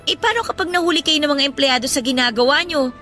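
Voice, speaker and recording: Young schoolgirl daughter, really high voice, worried n polite but keeps asking, radio drama. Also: fast, pushed and bright, faint music behind. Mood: concerned, pleading. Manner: respectful, insistent questioning. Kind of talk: radio drama acting in Tagalog.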